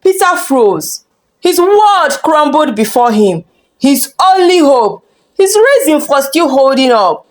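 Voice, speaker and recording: Woman telling a dramatic folktale, really high voice, lots of up and down. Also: sing-song, slow pace. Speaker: storyteller. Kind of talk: scripted dramatic folktale narration.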